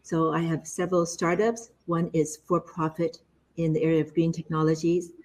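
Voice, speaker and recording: A woman's voice: low-pitched, flat delivery, normal speed, a little mellow, low-quality recording. A middle-aged woman from the United States introducing herself on a panel in a confident, polished way. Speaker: panelist, entrepreneur.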